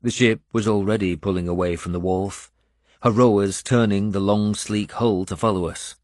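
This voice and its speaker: A man reading a scripted first-person audiobook in a measured storytelling manner. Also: fairly low pitch, fairly even intonation, a little mellow, no echo, studio quality. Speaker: audiobook narrator.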